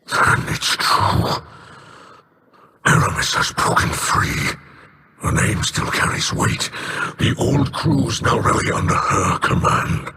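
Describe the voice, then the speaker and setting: An actor playing a grave old alien chieftain and father in a video game, with a gravelly voice. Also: mid-range voice, animated, slow pace, sharp tone, a little hiss or hum.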